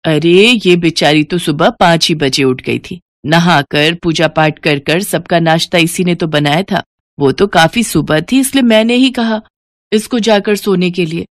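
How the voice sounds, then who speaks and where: A woman voicing a kindly, protective middle-aged mother-in-law with a gentle, coaxing manner in a cartoon story. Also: fairly low pitch, a little slow, no room sound, studio quality, natural voice. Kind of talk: Hindi cartoon story voice acting.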